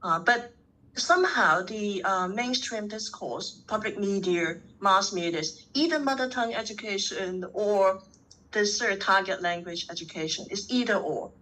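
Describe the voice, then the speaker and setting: A woman in her sixties, from Hong Kong, talks in an online academic seminar. Her voice is bright and forceful, and her pitch climbs as she presses a point. Her pitch sits in the middle range for a woman. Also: measured pace, poor audio. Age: 60s. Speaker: professor.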